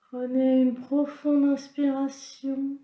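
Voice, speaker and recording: A woman leading a guided yoga nidra relaxation as an instructor, in a calm, soothing, instructional manner. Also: sits high, slow pace. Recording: roomy, echoing sound.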